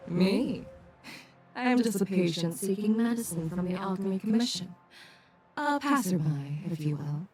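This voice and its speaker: A woman's voice: medium pitch, lively intonation, close to an everyday voice. An actress voicing a famed, self-assured female general in an anime-style video game, breezy and wry with a teasing manner. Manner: playful, unhurried confidence, wry. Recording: loud game music behind.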